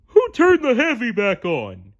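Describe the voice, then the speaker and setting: A woman voicing a dim, goofy male cartoon starfish from a storybook, loud, simple-minded and emphatic, in a lo-fi recording. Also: fairly high pitch, wildly swooping pitch, unhurried, voice pushed up. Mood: puzzled.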